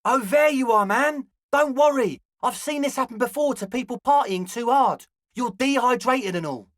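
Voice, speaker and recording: A man's voice: very high voice, fairly bright tone, no echo, studio quality. A male actor voicing a young, slangy club hustler in a video game, conciliatory and laid-back with a pleading manner. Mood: friendly, reassuring. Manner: slangy, placating, drawn-out pleading. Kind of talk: video game character dialogue.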